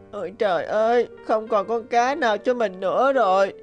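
A woman's voice: a little high, measured pace, dull audio, faint music behind, lightly acted. A woman voicing a kind, humble young orphan boy in a cartoon, polite and earnest. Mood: sad.

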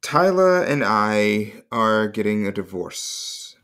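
A low-voiced, halting, awkward young dad. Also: male voice, expressive, fairly bright tone, clean tone. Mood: awkward, sad. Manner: halting, self-correcting. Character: awkward, heartbroken young father, halting and tender. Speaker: actor in an audio play. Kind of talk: naturalistic comedy-drama acting.

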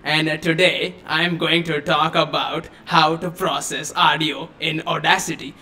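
A man putting on an Indian accent as a calm tutorial presenter. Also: a little high, moderate pace, pitched below the performer's own voice. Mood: measured. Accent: put-on Indian.

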